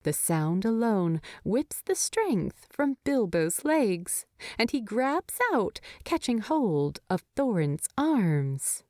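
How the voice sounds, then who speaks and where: A woman narrating a story in an expressive, tender, unhurried way. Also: medium pitch, no echo, clean studio sound. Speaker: fan fiction narrator.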